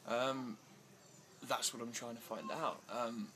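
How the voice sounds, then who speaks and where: A man's voice: medium pitch, fairly even intonation, takes it slowly, fairly bright tone. A man answering interview questions with many fillers and restarts, growing agitated as he talks. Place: outdoors in India.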